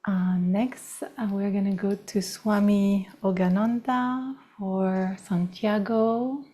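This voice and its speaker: Female host of an online gathering; mellow voice, unhurried delivery, brief welcomes. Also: medium pitch, fairly even intonation. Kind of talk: informal hosting, welcoming participants.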